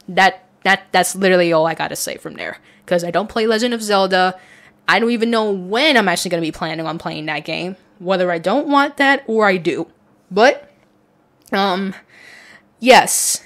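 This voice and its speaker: Young woman, mid-pitched voice, casual creator talk, emphatic, wandering phrasing. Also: a bit bright. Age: young adult. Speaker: audio roleplay content creator and voice performer.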